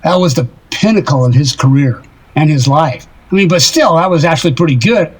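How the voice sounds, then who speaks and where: An actor playing a lovesick, self-deprecating older married man in an audio drama, rambling wistfully and haltingly in a husky voice. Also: on the high side, expressive.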